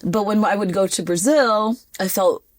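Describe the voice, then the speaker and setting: Podcast guest: animated American woman in her forties, dry close sound, chatty and laughing. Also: medium pitch, no room sound. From United States (New Jersey). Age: 40s. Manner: animated, chatty, laughs often, quick asides. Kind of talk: casual interview storytelling.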